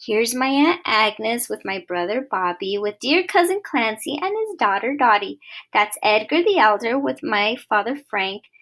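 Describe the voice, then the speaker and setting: A woman voicing a kind, lonely old woman who talks softly and fondly to a stray cat in a storybook. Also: a little high, sing-song, unhurried, full character voice.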